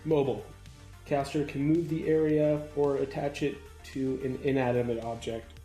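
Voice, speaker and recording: A man's voice: mid-range voice, little pitch movement, normal speed, mellow, soft background music. A man playing a tabletop roleplaying game, casually describing what his character does.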